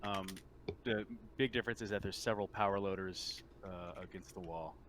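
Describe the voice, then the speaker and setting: Low-voiced man, noisy recording. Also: normal speed. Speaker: game master. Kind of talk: narrating a tabletop roleplaying game, conversational. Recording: noticeable background noise.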